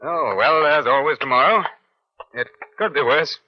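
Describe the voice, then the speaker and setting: An actor playing a brisk, wry British police inspector in old radio crime drama, with clipped commands and dry understatement. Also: male voice, fairly high pitch, lively intonation. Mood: wry, watchful. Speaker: lead actor and narrator. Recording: muffled old radio recording.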